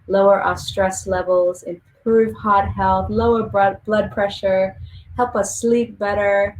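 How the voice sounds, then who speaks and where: A woman from Australia guiding an online meditation session, speaking softly and slowly with gentle pauses. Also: mid-range voice, poor audio. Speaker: singer and meditation guide. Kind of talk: guided meditation.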